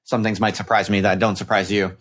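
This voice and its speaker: A man hosting an intellectual interview, speaking in a deliberate, hesitant way and repeating phrases as he works out his point. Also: fairly low pitch, flat delivery, fairly bright tone. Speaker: podcast host, interviewer. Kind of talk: intellectual discussion, unscripted.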